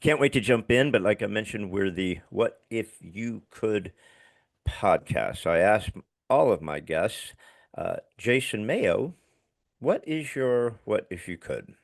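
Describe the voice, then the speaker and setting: A low, gravelly older man with a slight lisp hosts a casual podcast interview. His voice swings widely in pitch as he talks with easy enthusiasm. The sound is dry and close. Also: animated, measured pace, dry recording. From Long Island. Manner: enthusiastic, casual, calls guest buddy and dude. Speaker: podcast host. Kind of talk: casual podcast interview, reading a guest bio.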